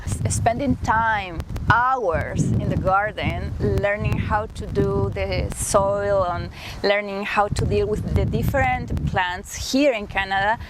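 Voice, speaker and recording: Woman, Peruvian Spanish accent, mid-pitched, quick phrases, noisy background. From Peru. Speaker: interviewee. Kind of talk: reflective personal account. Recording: noticeable background noise.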